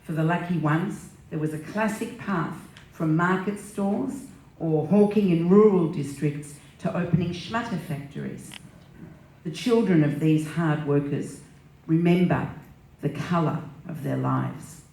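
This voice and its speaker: A woman giving measured, scripted narration of migrant history at a live indoor event. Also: deep voice, animated, a little mellow. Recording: echoey hall, distant from mic.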